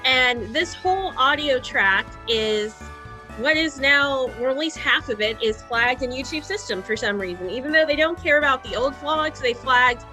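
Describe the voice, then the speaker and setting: A woman's voice: high-pitched, lively intonation, normal speed, pushed and bright, soft background music. A woman hosting a video editing tutorial in a casual, chatty, informal way.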